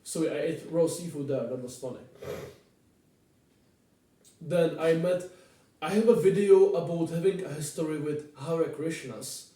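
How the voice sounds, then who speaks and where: A man's voice: a little high, unhurried, pauses often, mellow. A man from the Czech Republic with a Czech accent, telling stories casually in a rambling, repetitive, informal way. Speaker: vlogger. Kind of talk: rambling casual storytelling to camera. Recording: very echoey room, distant microphone.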